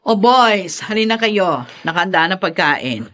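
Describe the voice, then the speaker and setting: A woman's voice: medium pitch, lively intonation, measured pace, a bit bright. An actress playing a possessive, domineering older mother in a radio drama, imperious and theatrical. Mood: insistent. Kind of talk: Filipino radio drama acting.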